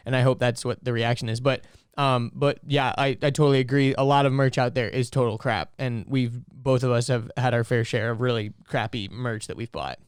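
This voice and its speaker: Easygoing young man, smooth dry voice. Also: medium pitch, fairly even intonation, a little fast, dry recording. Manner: rambling, lots of fillers and "like". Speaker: podcast co-host. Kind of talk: casual chat.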